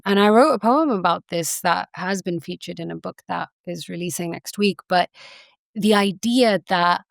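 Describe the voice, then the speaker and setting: A woman's voice: fairly low pitch, vocal fry, dry recording, studio quality. A woman from England speaking as an academic guest in an interview, earnest and impassioned, often saying "you know". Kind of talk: conversational interview.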